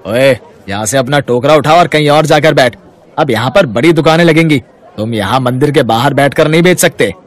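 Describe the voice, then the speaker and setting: A man acts out a bossy shopkeeper in a moral-story cartoon. He is brusque, scolding and hard-hearted, with a medium-pitched voice. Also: a little fast, a faint crowd, natural voice. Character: bossy, hard-hearted male shopkeeper shooing away poor vendors.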